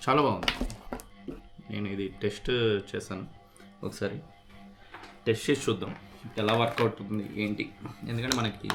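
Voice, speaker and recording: A man's voice: on the low side, vocal fry. A man talking casually as a vlogger, explaining things and mixing in English words. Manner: mixes English words into his speech. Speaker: vlogger sharing a product repair experience. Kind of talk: casual explanatory vlog with unboxing.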